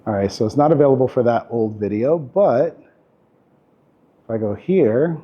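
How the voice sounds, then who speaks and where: A man teaching a live workshop class in a camera store, talking in a relaxed, chatty way with lots of fillers. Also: mid-range voice, normal speed, breathy edge. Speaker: workshop instructor. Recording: dull lo-fi recording.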